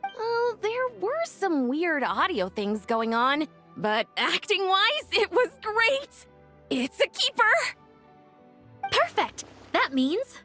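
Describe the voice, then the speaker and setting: A woman playing an excitable, upbeat young film director in a video game, with a bubbly, emphatic delivery. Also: very high-pitched, slow, faint music behind, exaggerated voice. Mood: delighted. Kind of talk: animated video game acting.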